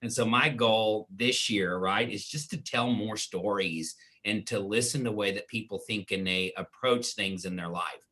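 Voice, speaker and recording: Bright-voiced man hosting an interview. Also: fairly low pitch, moderate pace, few pauses. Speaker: meeting host and interviewer.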